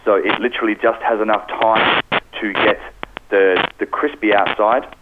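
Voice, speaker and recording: A man's voice: on the low side, steady tone, moderate pace. Man explaining recipes down a crackly phone. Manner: repeats words, explanatory. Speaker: pastry specialist guest. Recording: noisy crackling phone line.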